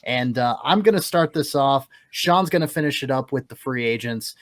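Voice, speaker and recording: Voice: medium pitch, animated, normal speed. A co-host running a segment of a hockey podcast, organized and jokey. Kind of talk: casual hockey podcast chat.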